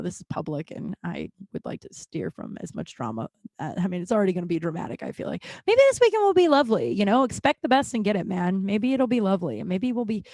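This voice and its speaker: A woman's voice: lowish voice, creaky, dark tone, no room sound, studio quality. A woman in her late thirties hosting a solo podcast, rambling candidly and confessionally with plenty of swearing and restarts. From Denver, USA. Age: 30s. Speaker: podcast host.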